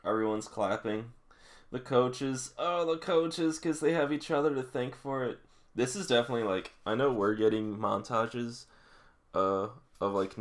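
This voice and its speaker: A man doing a read-along with casual, emotional running reactions, often exclaiming like an absorbed fan. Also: fairly low pitch. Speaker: reader reacting to a manga.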